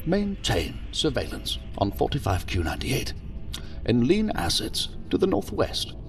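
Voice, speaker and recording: An actor playing a commanding, dryly witty ambassador in a science fiction audio drama, giving formal orders with theatrical flourishes. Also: male voice, medium pitch, huge pitch swings, unhurried, recorded from a distance, soft background music. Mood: imperious.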